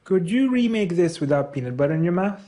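A man's voice: high voice, lively intonation, fast, warm tone, pitched above the performer's own voice. A man reading out anonymous online comments in a talk clip, played from an archive recording.

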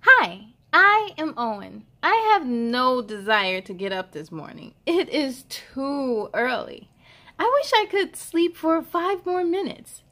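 Woman voicing an excited young boy, high-pitched. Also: slow pace, full character voice. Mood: sleepy, reluctant. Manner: wide-eyed, expressive storybook delivery. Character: excited young first-grade boy narrating his bus ride.

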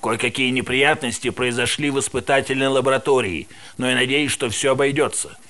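A man voicing a bright, wisecracking security guard. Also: mid-range voice, brisk, natural voice. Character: wary male security guard, chatty. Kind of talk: game voice acting.

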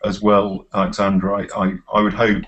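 A man's voice: on the low side, monotone, moderate pace, a bit bright, lo-fi sound. A middle-aged man leading an informal tutorial on a video call as course tutor, hesitant and encouraging. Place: small office, indoors.

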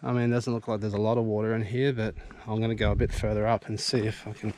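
A man giving relaxed, easily impressed commentary while hiking outdoors on a bush track. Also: on the low side, quick pace. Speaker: bushwalking vlogger. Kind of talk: casual walking commentary. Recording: music playing under the voice.

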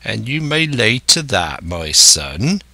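A man's voice: on the low side, sing-song, slow pace, sharp tone, no room sound, full character voice. A man voicing a scheming, domineering older pirate ringleader who speaks emphatically and scornfully in a salty seafaring dialect. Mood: commanding.

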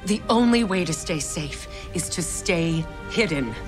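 A woman's voice: on the low side, lively intonation, unhurried. A woman playing a solemn, protective guardian creature in a film. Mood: grave, protective. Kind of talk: film acting. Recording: film clip with music behind.

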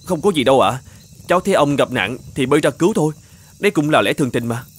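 A man's voice: a little high, lively intonation, quick pace, husky. A man voicing a brave, polite young knight in a children's cartoon. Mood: earnest, polite. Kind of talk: children's cartoon fairy-tale dubbing.

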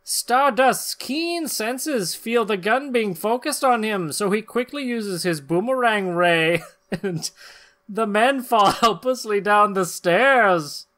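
A man's voice: high voice, slow, sharp tone. A middle-aged man co-hosting a podcast, reading comic captions dramatically and bantering casually. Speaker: podcast co-host, narrator.

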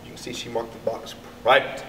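A man's voice: medium pitch, little pitch movement, fairly quick, sharp tone. A middle-aged American man reading a picture book aloud to children, expressively and addressing them directly. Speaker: elementary school educator reading to pupils. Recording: echoey, distant mic, background noise.

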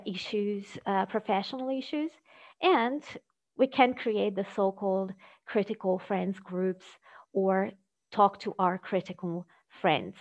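A woman giving an academic presentation over a video call, speaking in a measured, hesitant way with halting phrasing. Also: mid-range voice. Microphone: video call microphone. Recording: dull, lo-fi video call audio.

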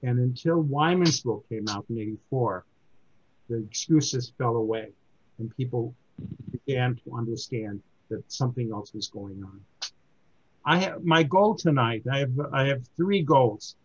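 An older man in his seventies gives an informal book talk to a community group. He speaks haltingly, restarting sentences often, at a middling pitch, on a dull, lo-fi recording. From born in Germany, raised in the United States. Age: 70s. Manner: halting, with many restarts and fillers. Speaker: book reviewer giving a talk.

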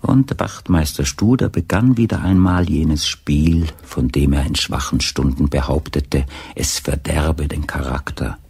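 A male narrator with a very deep, gravelly and husky voice. He reads in a measured, literary way for a radio crime play. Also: darkish tone. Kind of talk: scripted narration.

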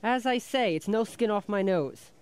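A sardonic hireling in a radio drama. His voice sits fairly high, and he reels off frank, needling patter with a wry edge. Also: lively intonation, clear, resonant tone. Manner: sardonic, fast-patter monologue. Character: wry, frank hireling rent collector, slyly needling boss.

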